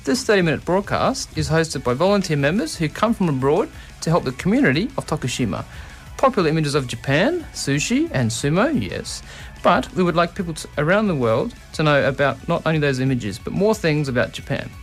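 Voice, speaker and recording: A man from Australia hosting a community radio show, chatting casually with guests and giving quick, upbeat affirmations. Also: on the high side, sing-song, faint music behind. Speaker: radio host.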